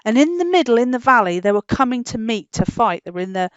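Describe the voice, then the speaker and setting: High-voiced woman storyteller, slightly muffled. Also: fast, clean tone, no echo. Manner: animated, simple child-directed explaining. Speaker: children's storyteller and host. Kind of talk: informal storytelling to a puppet dog.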